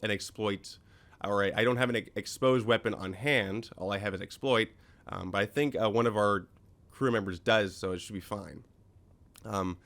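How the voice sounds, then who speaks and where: A man's voice: fairly low pitch, fairly bright tone. Scratchy-voiced man explaining game gear. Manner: rambling, many ums and self-corrections. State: slightly scratchy voice after a cold. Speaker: gaming video host. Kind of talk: casual let's-play commentary explaining game gear.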